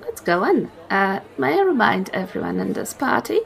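A woman's voice: medium pitch, very animated, normal speed, vocal fry, a bit bright. A woman player at a tabletop game, chatting playfully and a little theatrically, partly in character.

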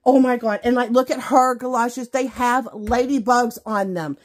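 High-voiced woman, gushing craft vlogger. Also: fairly even intonation, normal speed. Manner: gushing, exclamatory, folksy. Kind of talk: casual unboxing chat to viewers. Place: indoors at a craft table.

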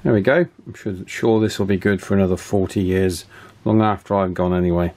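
British male repair presenter, lowish pitch, informal and chatty hands-on explainer. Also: a little fast, a little mellow. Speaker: radio repairer presenting a video. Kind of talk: casual hands-on demonstration. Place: indoor electronics workbench.

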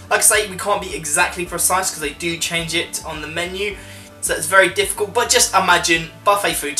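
A man's voice: high-pitched, pushed and bright. A man giving a chatty, conversational voice-over review as a vlogger, working through his points informally. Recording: roomy echo, music underneath.

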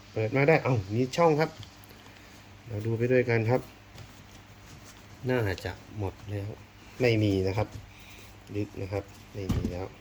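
A man giving casual hands-on unboxing commentary, thinking aloud as he explores, with polite phrasing. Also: mid-range voice. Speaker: unboxing video host. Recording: clear background noise, rustling and clicking.

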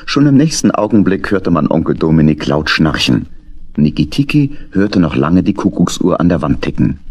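A man's voice: low-pitched, very animated, moderate pace, darkish tone, breathy edge. A man narrating a children's audio drama as a scripted storyteller.

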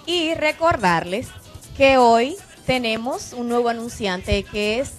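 A woman's voice: a little high, animated, takes it slowly. A woman co-hosting a TV show, chatty and upbeat. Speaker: TV talk show co-host. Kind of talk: casual studio chat and ad reads. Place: TV studio set. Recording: TV broadcast with background music.

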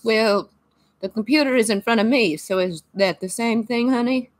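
A woman's voice: on the high side, moderate pace, lightly acted. A woman putting on the voice of a forgetful eighty-nine-year-old grandmother who rambles and mishears things. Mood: rambling. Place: small home room, indoors.

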